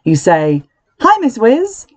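A reader playing a brisk, mysterious witchy teacher. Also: medium pitch, wildly swooping pitch, heavily acted. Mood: firm. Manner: quiet but firm, brisk.

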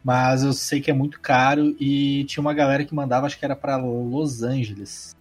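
Guy hosting a chill chat, kinda husky voice, slangy, lil music in the back. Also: mid-range voice, fairly quick. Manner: relaxed slangy interviewer, asks follow-ups. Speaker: podcast host. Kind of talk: casual chat.

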